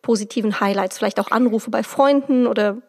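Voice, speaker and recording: A woman's voice: fairly high pitch, fairly quick. A woman hosting a casual interview, chatty and asking follow-up questions. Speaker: host.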